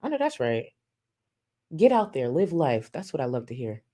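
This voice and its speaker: A woman's voice: very deep voice, very animated, normal speed, warm tone. A woman streaming live, reacting to a video and reading out super chats with sassy, profane, mocking commentary and frequent laughter. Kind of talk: casual livestream commentary.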